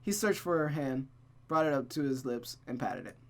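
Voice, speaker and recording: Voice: medium pitch, sing-song, normal speed. Playful streamer reading a visual novel aloud. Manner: playful, jokey. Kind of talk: casual chat and dramatic story narration.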